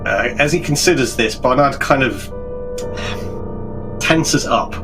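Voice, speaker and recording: A man's voice: mid-range voice, slow. Male game player narrating over music. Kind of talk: casual third-person roleplay narration.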